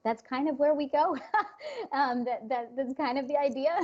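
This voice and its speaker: Halting woman guest on a lo-fi line. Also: high-pitched, steady tone, a little mellow. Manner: thoughtful, halting, many restarts. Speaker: interview guest. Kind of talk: casual interview answers. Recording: dull lo-fi sound.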